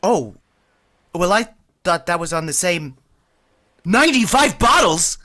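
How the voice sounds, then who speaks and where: A man plays a boastful, easily flustered working-class man on a night out. He blusters through his lines and trips over his words when nervous. His pitch swings widely in a lively radio comedy delivery. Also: measured pace, heavily acted. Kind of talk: vintage radio comedy acting.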